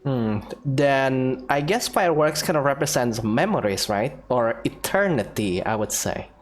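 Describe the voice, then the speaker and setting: A woman's voice: extremely low pitch, lively intonation, measured pace. A woman gamer in a casual playthrough, reading out dialogue and thinking aloud. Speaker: gamer playing through a story quest.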